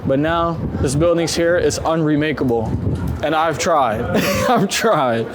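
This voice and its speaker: Animated, higher-voiced man chatting on the street. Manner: excitable, slangy, quick to gush. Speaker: street photographer being profiled. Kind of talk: casual chat while walking. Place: city street, outdoors. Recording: outdoor street noise, passing traffic. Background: urban ambience and vehicles.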